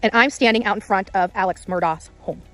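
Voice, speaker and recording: A woman's voice: mid-range voice, brisk, sharp tone, faint music behind. A woman doing unscripted vlog-style reporting outdoors, often repeating her phrases. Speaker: amateur on-site reporter. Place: outdoors in quiet rural area.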